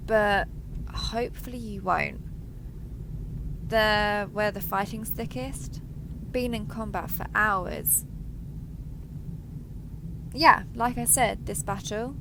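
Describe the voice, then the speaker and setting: A woman's voice: mid-range voice, noisy background. A woman playing a friendly, chatty giant transforming robot soldier in an audio roleplay, joking and reassuring as she talks to the listener.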